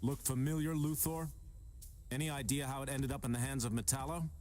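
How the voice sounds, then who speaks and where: An actor playing a confident, upright superhero in a video game, with a steady, wry, stern manner. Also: male voice, medium pitch, brisk, a little hiss or hum, lightly acted.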